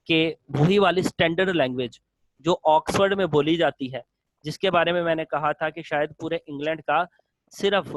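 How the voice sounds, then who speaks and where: A man's voice: a little high, no echo, rough recording. A male lecturer explains a topic in a classroom style, repeating phrases in long lists and mixing in English terms.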